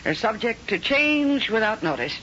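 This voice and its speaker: An actress playing a world-weary, middle-aged film star who feigns cruel indifference, in theatrical 1970s radio drama style. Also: female voice, a little high, sing-song, moderate pace, bright, forceful tone, slight background noise. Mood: breezily cruel. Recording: muffled 1970s radio broadcast with music.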